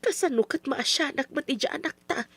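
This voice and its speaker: A woman's voice: high voice, a little fast, fairly bright tone, dry recording. Older mom in an old radio drama, fretting and scolding her husband, dry sound. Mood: reproachful. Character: worried older mother, reproachful wife of a scheming man.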